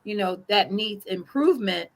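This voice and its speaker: A woman's voice: medium pitch, lively intonation, moderate pace. A woman co-hosting a casual chat show, giving encouraging advice with plenty of "you know" fillers. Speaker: co-host.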